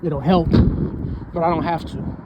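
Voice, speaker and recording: A man's voice: fairly high pitch, fairly even intonation, moderate pace, highs cut off, background noise. A man of thirty, giving a casual, rambling motivational talk and often tagging lines with "man" and "you know what I'm saying". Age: 30s. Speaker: vlogger.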